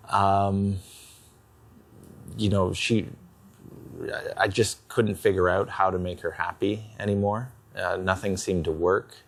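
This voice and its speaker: A man giving a candid personal account in a hesitant, halting way. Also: deep voice. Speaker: husband in couples counselling.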